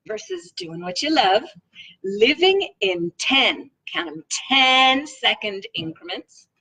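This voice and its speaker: A woman reading a self-help book aloud in an informal, expressive and playful way. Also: fairly high pitch, breathy edge, rough recording. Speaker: read-along host reading a book aloud.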